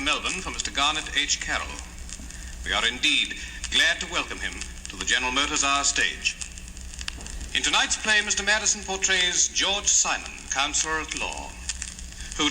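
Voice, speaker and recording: A man's voice: fairly high pitch, sharp tone. A man hosting a 1950s radio drama, giving a formal, stately, scripted introduction. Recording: hissy old radio recording, long hall reverb.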